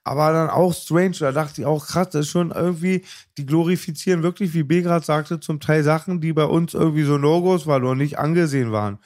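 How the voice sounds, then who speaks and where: A man's voice: on the high side, fairly quick, darkish tone. A man in a casual chat, joking and playful, often breaking off mid-sentence.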